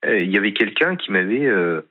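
A man calling in to a radio show, speaking hesitantly and haltingly, full of fillers. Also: fairly low pitch, big pitch swings, husky. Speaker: caller. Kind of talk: phone-in conversation. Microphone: telephone. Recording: tinny phone line.

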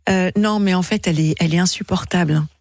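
A woman hosts a late-night radio phone-in in a fairly low, husky voice. The sound is close and dry. She chats with her caller live, pausing and searching for words as she goes. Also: a little mellow, dry recording.

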